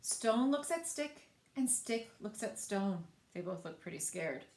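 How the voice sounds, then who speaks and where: Female children's storyteller, slow and very lively, in a roomy, echoing space. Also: mid-range voice. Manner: animated, with asides to young listeners. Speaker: library storyteller. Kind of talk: expressive children's picture-book read-aloud.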